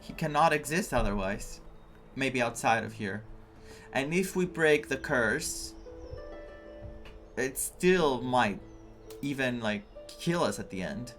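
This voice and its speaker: A male gamer comments casually as he plays, in a mid-range voice. He is expressive and emotionally invested in what he reacts to, with game music behind him. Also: mid-range voice, slow, long pauses. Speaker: gamer streaming a playthrough.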